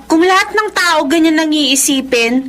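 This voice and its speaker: Eager, very high-pitched teenage girl. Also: normal speed. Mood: chatty, defensive. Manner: girlish, assertive, excitable. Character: eager, headstrong nineteen-year-old village girl longing for better life. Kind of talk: Filipino radio drama acting. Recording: faint background noise.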